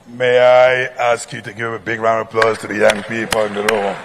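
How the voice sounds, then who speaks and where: A man's voice: fairly low pitch, monotone, a little fast, noisy background. A man from Senegal moderating a conference session, formal and courteous, improvising through schedule changes. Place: large conference hall. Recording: long hall reverb.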